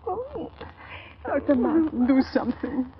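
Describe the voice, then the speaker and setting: A woman's voice: sits high, very animated, a little slow, a crowd in the background, exaggerated voice. An actress playing a desperate mother in an old radio melodrama, anxious and pleading. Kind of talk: 1930s radio melodrama acting. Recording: muffled old radio recording.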